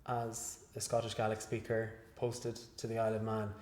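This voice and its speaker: A male researcher giving an interview after a lecture, speaking in a measured, hesitant way with many fillers and restarts. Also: on the low side, monotone, a little mellow. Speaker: guest researcher. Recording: echoey room.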